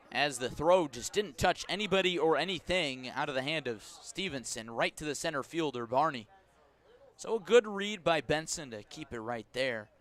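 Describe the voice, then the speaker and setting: An American man doing live radio baseball commentary, descriptive and clipped, with phrases that quicken and lift on the action. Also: a little high, pushed and bright. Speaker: baseball play-by-play announcer. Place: outdoor baseball stadium at night.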